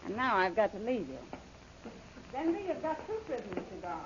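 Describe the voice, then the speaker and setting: An actress playing a cold, taunting villainess who orders henchmen about in an old radio serial, imperious and mocking. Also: female voice, mid-range voice, big pitch swings, brisk, lightly acted. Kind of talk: 1930s radio serial acting. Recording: noisy, muffled old radio recording.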